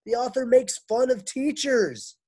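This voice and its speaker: A man's voice: extremely high pitch, expressive, a little slow, a little mellow, breathy edge, pitched above the performer's own voice, heavily acted. A man doing a whiny, weepy old schoolteacher lady. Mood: plaintive. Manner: plaintive, whiny and tearful. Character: weary put-upon older woman teacher.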